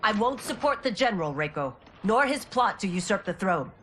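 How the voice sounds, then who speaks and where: A woman's voice: mid-range voice, sing-song, normal speed, fairly bright tone, a little hiss or hum. An actress playing a stern, honourable warrior woman in a video game, solemn, resolute and formal, with dramatic delivery. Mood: defiant. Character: stern, honourable woman warrior, former royal guard turned constable. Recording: music behind.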